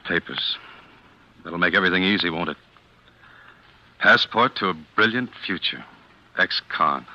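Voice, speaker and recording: An actor playing a bitter, brooding ex-convict in 1940s radio drama style. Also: male voice, deep voice, lively intonation, a little slow, pushed and bright, heavily acted. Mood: bitter, resentful. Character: bitter, brooding male ex-convict turned clerk. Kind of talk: 1940s radio drama acting, confiding first-person narration. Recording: muffled old radio recording.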